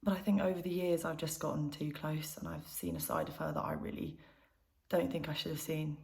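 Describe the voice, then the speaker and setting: A woman's voice: deep voice, animated, fairly quick, creaky, darkish tone. A young woman acting an intimate, conversational monologue as a troubled granddaughter confiding in her mother. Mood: sad, subdued. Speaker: actor performing a self-written monologue.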